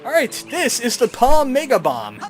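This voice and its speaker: A man's voice: very high voice, wildly swooping pitch, moderate pace. A man giving casual, snarky let's play commentary as he plays a video game. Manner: snarky, wry. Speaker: gamer, let's play host. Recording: commentary over game music.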